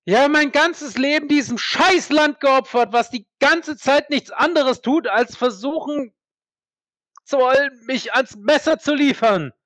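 A man's voice: very high-pitched, a little slow, a bit bright, no room sound. A man acting in an audio drama as an embittered former army captain, indignant, defiant and prone to swearing. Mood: angry, desperate.

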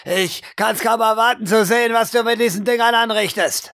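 Man playing a swaggering soldier, foul-mouthed, boisterous, gravelly edge, very dry sound, audio drama. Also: high-pitched, steady tone, moderate pace, dry recording, voice pushed up. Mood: gleeful, swaggering. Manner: loud, swaggering, crude. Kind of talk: improvised role-play audio drama.

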